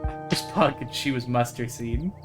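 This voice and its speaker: A man's voice: medium pitch, moderate pace, music in the background, natural voice. A man voicing a chatty, friendly small-town woman, reading a visual novel character in a folksy, rambling manner. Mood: concerned, reflective.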